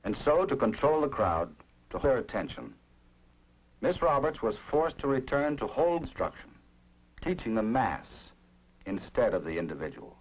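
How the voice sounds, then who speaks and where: A man's voice: medium pitch, big pitch swings, husky. Dramatic American male narrator, widely swooping intonation. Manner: dramatic, measured short phrases. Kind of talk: 1950s educational film narration, scripted. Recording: dull vintage film soundtrack.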